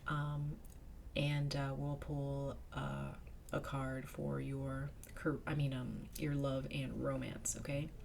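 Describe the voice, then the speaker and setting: A woman's voice: very deep voice, little pitch movement, slow pace, creaky, a little mellow, a little hiss or hum. A woman giving a casual tarot reading, slightly nasal as she gets over a cold, chatty and sometimes dropping to a whisper. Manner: chatty, drops to a whisper in invocations. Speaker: tarot reader.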